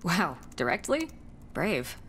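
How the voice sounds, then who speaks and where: A woman's voice: fairly low pitch, sing-song, takes it slowly, fairly bright tone, slight background noise, exaggerated voice. An actress playing a capable, curious woman who serves as a mission specialist in a scripted sci-fi video game. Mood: casual, curious. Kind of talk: scripted video game acting, log narration and dialogue.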